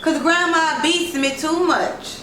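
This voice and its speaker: A woman's voice: extremely high pitch, takes it slowly, sharp tone, voice pushed up, heavily acted. A woman voicing a cheeky, imaginative little country girl with a flair for drama in a storybook reading. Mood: melodramatic. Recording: roomy, distant, noisy home recording.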